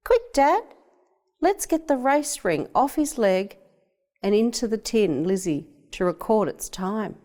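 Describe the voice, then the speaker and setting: A woman's voice: on the high side, expressive, unhurried, a little mellow, voice pushed up. A woman voicing an eager, hopeful young boy with an Australian accent in a children's storybook. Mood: eager, proud.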